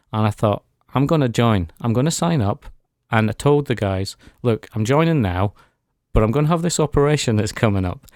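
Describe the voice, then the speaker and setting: A man's voice: mid-range voice, darkish tone, dry recording, studio quality. A man speaking as a guest in a radio interview, giving an earnest, reflective personal testimony. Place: radio studio.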